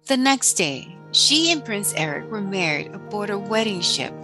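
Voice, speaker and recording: A woman narrating a scripted children's storybook in a deliberate read-aloud manner. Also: medium pitch, sing-song, over music.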